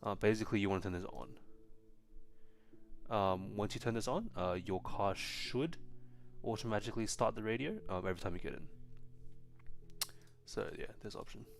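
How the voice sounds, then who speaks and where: Relaxed man on a live stream. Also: fairly low pitch, moderate pace, gravelly, long pauses, soft background music. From China. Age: young adult. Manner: relaxed, frequent ums and restarts.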